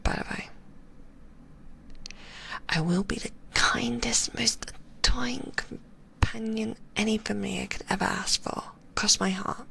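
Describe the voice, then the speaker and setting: A woman voicing a possessive, sweetly manipulative female magician, whispering in a soft, cooing and softly condescending way. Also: mid-range voice, measured pace, gravelly, pauses often, exaggerated voice. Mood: teasing. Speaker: ASMR roleplay performer. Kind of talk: whispered ASMR roleplay.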